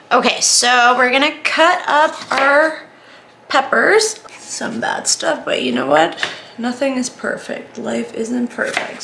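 A woman talks casually through a recipe in a home kitchen, with some background noise around her. Her voice is bright and fairly high. She is chatty and informal, tossing in self-deprecating asides as she explains each step. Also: gravelly. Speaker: home cooking vlogger. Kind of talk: casual chatty cooking demonstration.

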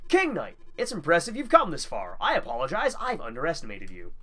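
A man's voice: a little high, huge pitch swings, brisk, sharp tone, heavily acted. A man voicing a scheming female sorceress villain in a video game, mocking and sly.

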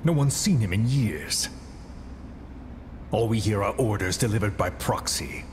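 An actor playing a cold, menacing interrogator in a game cutscene, speaking in measured short phrases. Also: male voice, lowish voice, lively intonation, noisy background. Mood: grim. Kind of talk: video game cutscene acting.

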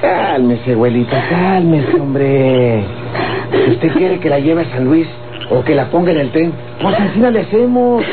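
An actor playing a young rural Mexican grandson, evasive and placating, in a folksy, coaxing radio soap style. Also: male voice, on the high side, lively intonation, a little slow, music in the background. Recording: old tinny, noisy radio recording.

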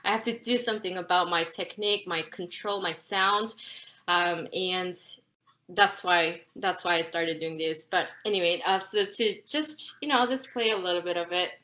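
Bright-voiced woman teaching flute online. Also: fairly low pitch, moderate pace. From South Korea. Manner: chatty, laughs often, animated. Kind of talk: online class talk. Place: home room, indoors. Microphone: webcam on a video call.

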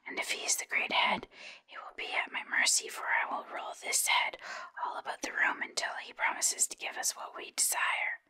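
A woman's voice: normal speed, sharp tone. A woman voicing a cowardly male lion from a storybook in a formal, grandiose whisper. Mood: boastful.

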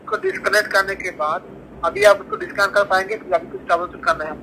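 A man from India working as a customer care agent, politely explaining and troubleshooting in halting, repetitive phrases, heard through a phone speaker. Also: sits high, fairly even intonation, pushed and bright. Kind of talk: customer support call, troubleshooting. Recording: phone call on speaker, faint background noise.

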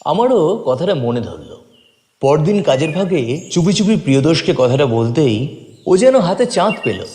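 A man's voice: a little high, sing-song, moderate pace, warm tone. A man reading a scripted first-person story as a dramatic narrator. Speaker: story reader. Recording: long reverb, faint music behind.

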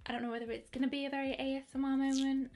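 A young woman in her twenties chatting excitedly through a makeup review, with lots of fillers and restarts. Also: sits high, very fast. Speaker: beauty vlogger. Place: bedroom with colourful lighting.

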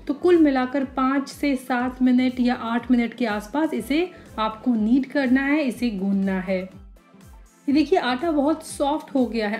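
A young woman talks through a recipe in a calm voice-over, step by step. Her voice sits a little high, and it sounds warm and mellow. Soft music plays faintly underneath. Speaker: cooking channel host.

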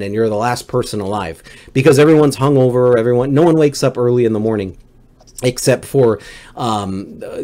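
A man's voice: mid-range voice, darkish tone. A middle-aged man telling a comic story as a livestream host, exasperated and swearing freely. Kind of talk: comedic storytelling monologue.

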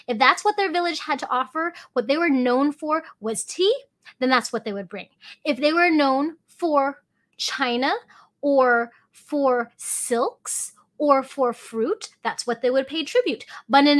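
A woman in her thirties who grew up in Hong Kong and Alaska, hosting an enthusiastic educational podcast from a home kitchen, gesturing as she talks. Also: sits high. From Hong Kong, raised in Alaska. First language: Cantonese. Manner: animated, gestures with both hands while talking. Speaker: tea company owner and podcast host. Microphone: fixed camera at mid distance.

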